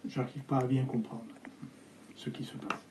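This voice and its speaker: An older man, originally from Algeria with Spanish as his first language, speaking haltingly with many fillers as an interview guest. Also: mid-range voice, flat delivery, roomy sound, noisy background. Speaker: architect guest.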